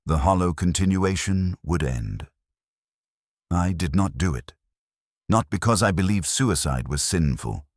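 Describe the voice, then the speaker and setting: A man's voice: low voice, animated, unhurried, dry recording, clean studio sound. A man reading a scripted first-person dramatic story as narrator, in a detached, solemn, clipped way.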